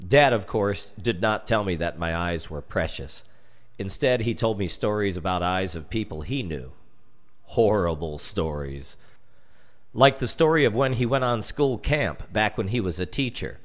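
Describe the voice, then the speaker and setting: An American man narrating a scripted children's horror story in a deliberate, dramatic storytelling manner. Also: on the low side, lively intonation.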